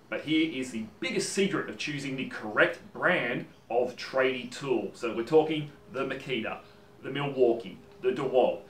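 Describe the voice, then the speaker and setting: An animated Australian man in his thirties, chatting to camera with lively gestures. His voice sits at an ordinary pitch and carries the ring of a roomy workshop, picked up from a few feet off the mic with a faint hum behind him. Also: distant mic. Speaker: woodworking channel host. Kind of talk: casual talk to camera. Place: home workshop, indoors.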